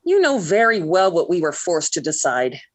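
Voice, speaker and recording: A woman's voice: lowish voice, very animated, normal speed, no echo. An actress playing an earnest, emphatic American teenage girl in love, in 1940s radio sitcom style. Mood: indignant. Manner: gushing or indignant, emphatic.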